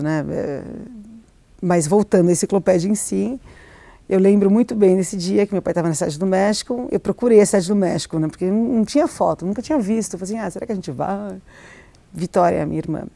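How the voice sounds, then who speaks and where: Soft-toned woman recalling her past. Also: medium pitch, fairly quick, creaky, no room sound. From Argentina. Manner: hesitant, many restarts and fillers, laughs often. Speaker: novelist, interview guest. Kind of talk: reflective interview answers.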